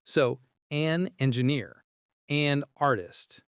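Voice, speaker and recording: A man's voice: fairly high pitch, slow pace, clean tone, dry recording, clean studio sound. A man co-hosting a scripted, conversational English lesson in an inquisitive, explanatory way. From Canada. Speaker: podcast co-host.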